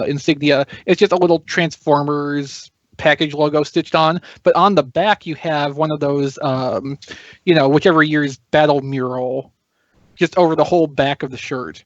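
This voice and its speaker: A man's voice: fairly high pitch, no room sound, lo-fi sound. A man co-hosting a podcast in casual chat, with a dry, joking manner. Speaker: podcast co-host.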